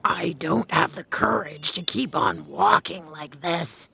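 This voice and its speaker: A woman doing a gravelly, tired cowardly lion. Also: low-pitched, huge pitch swings, slow pace, bright, forceful tone, a little hiss or hum, full character voice. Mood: weary. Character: weary male cowardly lion, big and timid. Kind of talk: storybook reading.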